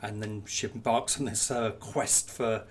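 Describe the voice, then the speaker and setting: A man's voice: on the low side, steady tone, a little slow, a little hiss or hum. A man interviewing on a podcast in a reflective, conversational way, with hesitant, tentative phrasing. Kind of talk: conversational, reflective book discussion.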